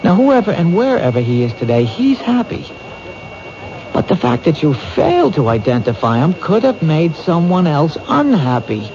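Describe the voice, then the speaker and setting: An actor playing a determined male police detective, reasoning aloud in a lively, insistent way in a 1970s radio drama. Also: fairly high pitch, normal speed, crowd noise. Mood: urgent. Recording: dull lo-fi vintage radio broadcast with hiss.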